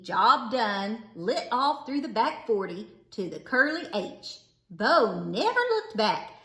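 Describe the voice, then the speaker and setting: A woman reading a children's story aloud with theatrical, deliberate phrasing. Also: medium pitch, fairly bright tone. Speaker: storybook reader. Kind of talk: expressive children's read-aloud narration. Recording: roomy, echoing indoor sound.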